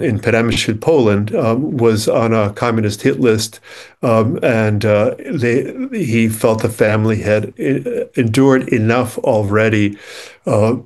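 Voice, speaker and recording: A man whose first language was Ukrainian speaks as a poet guest in an interview, talking in a thoughtful, searching, measured way and reading his own poems. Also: fairly low pitch, darkish tone. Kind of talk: conversational interview and poetry reading.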